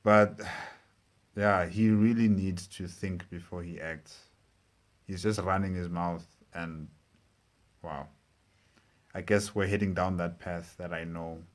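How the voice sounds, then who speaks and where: Man, very low voice, casual reaction commentary, halting, word repetitions, unscripted. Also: steady tone. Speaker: reaction video host.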